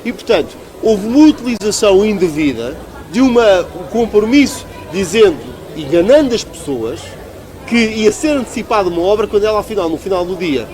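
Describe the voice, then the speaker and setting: A man's voice: high-pitched, expressive, a little fast, a little mellow. A man with a European Portuguese accent giving a conversational political interview as a local politician, arguing emphatically and repeating phrases for stress.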